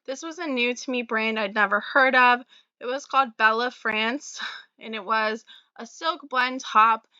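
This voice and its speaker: Young woman, bright tense voice, slightly raspy with a cold, chatty, dry dull recording. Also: on the high side, unhurried, no echo. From Florida. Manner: chatty, friendly, many fillers and small laughs. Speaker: reseller vlogger. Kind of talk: casual talk to camera about sales.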